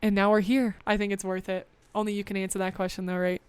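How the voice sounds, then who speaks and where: A young adult woman hosting a podcast, talking earnestly and encouragingly in a loose, conversational way full of fillers, with a deep voice for a woman. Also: level tone, fast talker, clear, resonant tone, no room sound. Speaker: podcast host. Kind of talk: motivational podcast talk.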